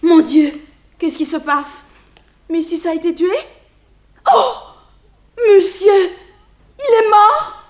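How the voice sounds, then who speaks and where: An actress playing a horrified housemaid in a melodramatic radio serial, crying out in panic. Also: female voice, very high voice, expressive, takes it slowly, pauses often, full character voice. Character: panicking island housemaid, shrieking in horror.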